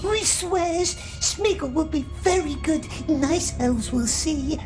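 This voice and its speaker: An actor voicing a wretched, scheming cave creature in a video game, with a strangled raspy whine and hissing, broken speech. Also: male voice, very high voice, slow pace, big-room sound. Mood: whining, fawning. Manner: hissing plural self-talk, broken grammar. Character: wretched, scheming, whining cave creature obsessed with his treasure. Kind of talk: theatrical video game acting. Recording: loud music behind the voice.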